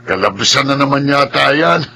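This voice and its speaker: A man's voice: mid-range voice, lively intonation, moderate pace, vocal fry, pushed and bright, a little hiss or hum. An actor with a Filipino accent playing a matter-of-fact man of sixty, resigned to aging, in a radio drama. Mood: grave, resigned.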